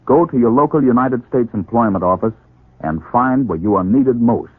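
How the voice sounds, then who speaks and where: A man announcing and narrating in formal, deliberate 1940s radio style. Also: mid-range voice, lively intonation, breathy edge. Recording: tinny, echoey 1940s radio recording.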